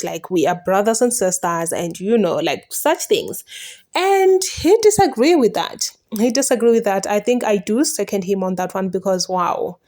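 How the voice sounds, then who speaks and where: A woman's voice: mid-range voice, darkish tone. A woman giving casual reaction commentary, hesitant and searching for words with many "um" and "like".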